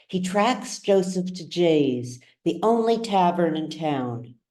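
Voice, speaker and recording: A woman narrates an audiobook in a low, mellow voice. Her storytelling is measured and dramatic, and she takes her time over each phrase. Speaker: author reading their own novel.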